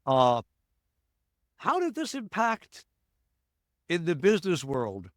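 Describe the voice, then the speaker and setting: American guy hosting a podcast on a call, chatty and jokey, slightly high voice, dry sound. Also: wildly swooping pitch, fairly bright tone, no echo. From United States. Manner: chatty, quick asides, jokey. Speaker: podcast host. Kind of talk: casual interview chat. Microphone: remote call.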